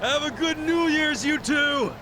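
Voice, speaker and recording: An actor voicing a brash, earnest young yakuza underling with rough, slangy speech in an English-dubbed game. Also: male voice, very high voice, a little slow, noisy background. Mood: eager, excitable. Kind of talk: English-dubbed game cutscene dialogue and narration.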